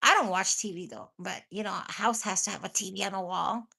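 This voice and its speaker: A woman's voice: medium pitch, brisk, gravelly, sharp tone, slightly rough. A woman hosting a casual live chat with her viewers, rambling, swearing freely and laughing often, with a stuffy nose and a cough. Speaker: livestream host chatting with viewers.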